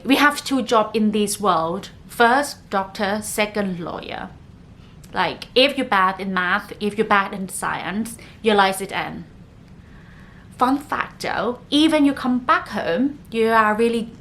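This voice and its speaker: A woman's voice: medium pitch, fairly bright tone. A woman in her thirties from northern Thailand, a native Thai speaker who hosts a casual solo podcast in English with a Thai accent and a chatty, filler-heavy way of talking. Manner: chatty, leans on frequent "like" fillers. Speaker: podcast host.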